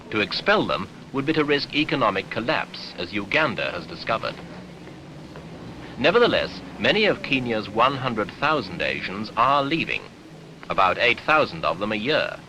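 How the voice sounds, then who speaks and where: Animated 1970s newsreel man, bright voice. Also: on the low side. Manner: measured, formal, authoritative delivery. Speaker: newsreel narrator. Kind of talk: 1970s documentary newsreel scripted narration. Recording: noisy archival 1970s newsreel soundtrack.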